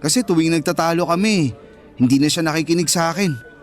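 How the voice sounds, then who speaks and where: A man's voice: a little high, fast talker, soft background music, lightly acted. A man playing a protective, fair-minded schoolboy in a Filipino radio drama, with an earnest, reassuring manner. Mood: gentle, reassuring.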